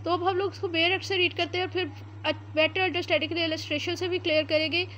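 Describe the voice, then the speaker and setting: High-voiced Pakistani woman teaching law. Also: little pitch movement, a bit bright, dull audio, a little hiss or hum. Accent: Pakistani Urdu. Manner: explains slowly, reads English statute text word by word, frequent "uh" fillers. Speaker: law lecturer. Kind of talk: explanatory law lecture.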